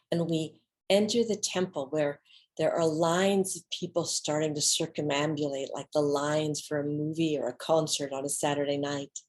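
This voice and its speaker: A woman giving a dramatic reading of an autobiographical monologue, with theatrical, deliberate phrasing and pauses. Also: deep voice, a little mellow. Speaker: solo stage performer.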